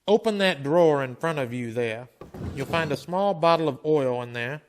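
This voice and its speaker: A man's voice: on the high side, expressive, moderate pace, a little hiss or hum, lightly acted. A man playing a terse, weary Old West town marshal in a western radio drama, with a guarded, laconic way of talking. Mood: dry, steady.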